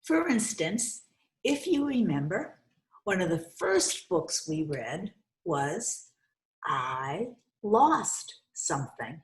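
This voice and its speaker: A woman hosting a children's storytime, speaking deliberately and instructively as she reads and explains, often repeating phrases for young listeners. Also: fairly low pitch, very animated. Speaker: host reading children's books aloud. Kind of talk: storytime read-aloud with commentary to children. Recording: roomy, echoey sound.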